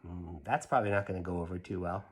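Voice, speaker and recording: A man's voice: deep voice, steady tone, quick pace, slight background noise. A man reading aloud to children in a casual style, adding conversational asides and questions to his listeners. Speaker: children's story reader.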